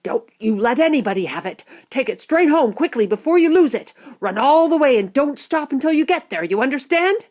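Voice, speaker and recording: A woman voicing a big, kindly, excitable male shopkeeper in a storybook, hearty and exclamatory. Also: sits high, a little slow, hardly any pauses, voice pushed up. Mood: urgent.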